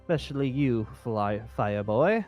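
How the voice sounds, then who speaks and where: A man's voice: mid-range voice, lively intonation, normal speed, a little mellow, soft background music, close to an everyday voice. A man playing an ancient, powerful, patronising teacher in an amateur game roleplay, speaking in a genteel, theatrical way. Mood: smug, pleased.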